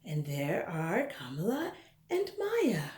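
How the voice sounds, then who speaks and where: A woman reading a picture book aloud to children in an expressive way, with conversational asides. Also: on the low side, takes it slowly. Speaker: storybook reader, host. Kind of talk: animated read-aloud for children. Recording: roomy indoor echo.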